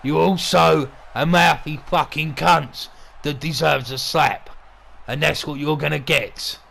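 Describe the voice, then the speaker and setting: A gruff, crude wrestling boss, played by a man, calls the action in a heavy gravelly growl. The voice sits a little high and bright, with a forceful edge. He talks bluntly, swears freely and sounds like a threat. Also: fairly even intonation, a little slow, exaggerated voice. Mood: contemptuous. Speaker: wrestling commentator. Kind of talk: wrestling commentary with in-character banter.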